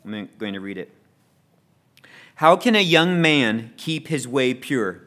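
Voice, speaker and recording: A man's voice: mid-range voice, sing-song, slow pace, a bit bright. A man leads a church class, reading verses aloud and asking the group for thoughts. He talks in a friendly, loose way and often stumbles and repeats words as he goes. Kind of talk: leading a Bible study class.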